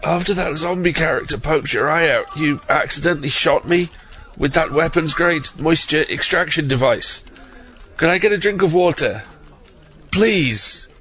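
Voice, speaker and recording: An actor playing a pitiful, parched but polite sidekick, plaintive and pleading, in a comedy audio drama. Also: male voice, on the high side, normal speed, fairly bright tone, highs cut off, soft background music, close to an everyday voice.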